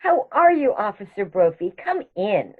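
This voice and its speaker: A woman plays a sweet old spinster aunt in a radio comedy, fussing and chatting genteelly. Her pitch swoops freely, and the sound is a little dull. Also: sing-song, unhurried, slight background noise. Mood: fussing, kindly. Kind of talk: radio comedy theatre acting.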